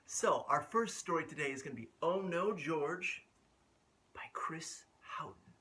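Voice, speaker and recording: Animated man hosting storytime for little kids. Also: a little high, a little hiss or hum. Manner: drawn-out, asks the listeners questions, drops to whispers. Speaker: children's storytime host. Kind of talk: animated picture-book read-aloud for young children.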